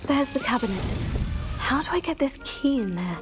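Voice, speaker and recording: A woman's voice: high-pitched, lively intonation, normal speed, over music. A young woman with a British accent playing a curious, plucky teenage witch in a video game, composed and musing.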